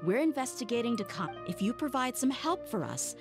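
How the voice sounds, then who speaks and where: A woman's voice: fairly high pitch, very animated, brisk, over music. A woman acting a tough, blunt young female mercenary in a video game, with a no-nonsense way of talking. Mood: threatening, impatient. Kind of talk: scripted game dialogue.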